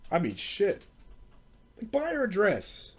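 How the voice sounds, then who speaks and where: A man's voice: high voice, wildly swooping pitch, slow pace. A young American man hosting a podcast, rambling casually and comedically in an exasperated way, with plenty of swearing and bro slang. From United States. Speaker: podcast host.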